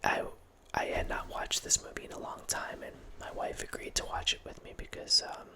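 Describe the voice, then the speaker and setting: Man whispering softly, very close mic. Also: moderate pace. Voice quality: soft unvoiced whisper. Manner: rambling, with restarts and quiet laughs. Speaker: ASMR channel host. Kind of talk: whispered ASMR film ramble. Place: small home room with bookshelf. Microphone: close studio mic with headphones.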